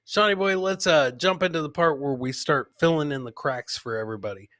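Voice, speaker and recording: A man's voice: on the high side, a little fast, fairly bright tone, no room sound, clean studio sound. A man hosting a casual comedy clip show, chatting to his co-host and viewers and often repeating phrases for comic effect. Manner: chatty, addresses co-host and live viewers by name.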